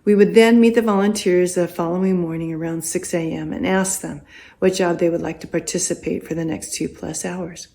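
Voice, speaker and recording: Older woman, American accent, slightly low voice, prepared testimony read aloud, deliberate storytelling, expressive pauses. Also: vocal fry. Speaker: congregation volunteer giving testimony.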